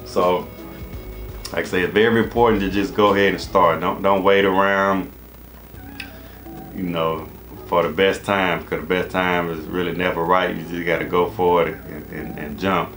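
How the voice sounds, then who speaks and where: A man's voice: low-pitched, fairly even intonation, moderate pace, fairly bright tone, soft background music, poor audio. An American man in his thirties giving casual, rambling advice to camera in an informal, repetitive way. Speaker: HVAC business coach and channel host. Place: small indoor office.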